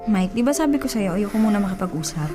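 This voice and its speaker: A woman's voice: mid-range voice, animated, fast, natural voice. A woman playing a hurt, fed-up young woman reproaching her boyfriend in a radio drama, speaking emphatically over music. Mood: cold, curt. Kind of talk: radio drama acting in Tagalog. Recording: music behind the dialogue.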